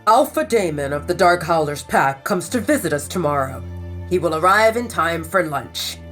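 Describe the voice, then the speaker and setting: A woman's voice: fairly low pitch, lively intonation, faint music behind, close to an everyday voice. A woman playing a stern, commanding alpha father. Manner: cold, commanding, slow. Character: stern, scheming male werewolf pack leader, a father.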